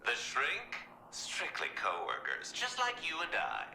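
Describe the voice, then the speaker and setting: An actor playing a manic, theatrical clown villain in a video game, mocking and flirtatious. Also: male voice, huge pitch swings, unhurried, vocal fry, pushed and bright, echoey room, slight background noise, exaggerated voice. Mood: mock-polite, flirty. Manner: theatrical, taunting, swooping between shrill and low. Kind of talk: video game cutscene acting.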